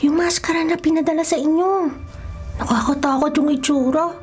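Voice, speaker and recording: A woman playing an easily spooked young boy in a radio horror drama, with an excitable, fearful manner. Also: high-pitched, brisk, lightly acted. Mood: nervous. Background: background music.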